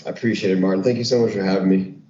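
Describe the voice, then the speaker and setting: A man's voice: deep voice, steady tone, rapid-fire, warm tone. A man chatting casually as an interview guest, with informal, laid-back phrasing. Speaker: podcast guest, retreat founder. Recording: roomy, muffled, faint background noise.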